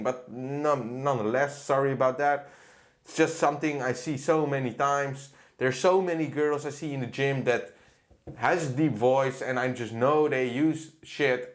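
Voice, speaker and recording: A man who works as a fitness coach, reacting to a clip in a blunt, profane rant. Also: medium pitch. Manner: blunt, profane, ranting with laughs. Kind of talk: casual reaction commentary.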